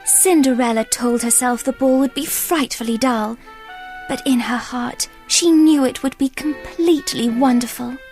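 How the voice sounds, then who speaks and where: A woman narrating a scripted children's bedtime story in an expressive storytelling style. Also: sits high, moderate pace. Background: music.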